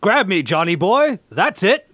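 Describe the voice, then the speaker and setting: Man playing a high, dramatic scientist hero. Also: very animated, unhurried, fairly bright tone, no room sound, clean studio sound, exaggerated voice. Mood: reassuring. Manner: melodramatic, declarative. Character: commanding, earnest heroic scientist leader of comic-book team.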